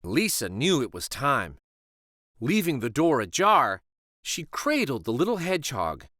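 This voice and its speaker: A man hosting a children's read-aloud, narrating a storybook in a slow, expressive and soothing way. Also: medium pitch, dry recording, clean studio sound.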